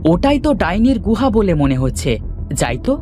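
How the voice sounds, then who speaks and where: Woman as a lively, earnest young cartoon hero. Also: lowish voice, moderate pace, soft background music. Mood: determined. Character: brave, earnest young male fairy tale hero. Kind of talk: cartoon fairy tale voice acting.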